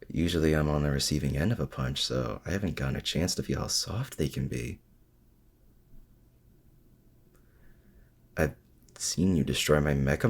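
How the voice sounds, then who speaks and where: Guy doing a supervillain for asmr roleplay, super deep n crackly, casual and halting, secretly soft on his hero rival. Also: exaggerated voice. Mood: admiring, self-doubting. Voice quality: very deep, gravelly. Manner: casual, halting, confiding, teasing. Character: feared male supervillain, self-doubting, secretly smitten with his heroic rival. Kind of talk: intimate ASMR roleplay audio drama, addressing the listener.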